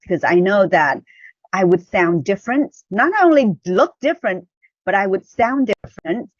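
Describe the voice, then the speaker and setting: A woman's voice: mid-range voice, dry recording, highs cut off. A middle-aged woman from Vietnam with a Chinese-Vietnamese accent, a podcast guest telling her story in a talkative, self-correcting way. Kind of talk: personal storytelling in an interview.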